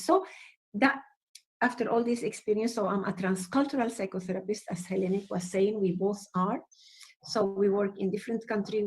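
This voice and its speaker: Venezuelan woman, warm lively guest. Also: medium pitch, poor audio. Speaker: psychotherapist guest. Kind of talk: interview conversation.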